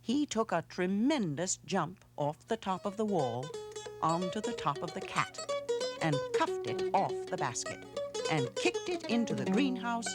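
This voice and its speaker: A woman narrating a children's storybook aloud in a measured, dramatic way, with hushed pauses. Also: mid-range voice, sing-song, over loud music. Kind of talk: expressive storybook read-aloud.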